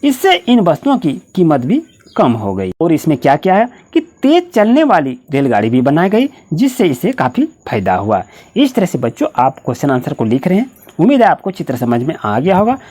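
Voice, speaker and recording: Husky Indian woman teacher, animated pitch. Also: moderate pace. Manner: instructional, reading answers out point by point. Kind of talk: dictating exam answers to school students.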